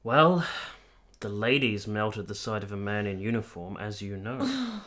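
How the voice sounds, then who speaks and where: A man's voice: lowish voice, sing-song, unhurried, fairly bright tone. An actor playing a cynical, bitter soldier captain in his late twenties in a period radio drama, dry and argumentative. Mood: wry, resigned. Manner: dry, argumentative, matter-of-fact.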